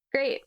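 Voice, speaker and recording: A woman playing a tabletop game, chatting casually with a wry manner. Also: on the high side.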